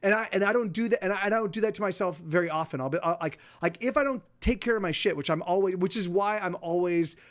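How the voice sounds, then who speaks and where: High-voiced man in his forties rambling. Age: 40s. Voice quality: talks in halting restarts with frequent swearing. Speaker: livestream host chatting with viewers. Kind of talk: rambling casual chat.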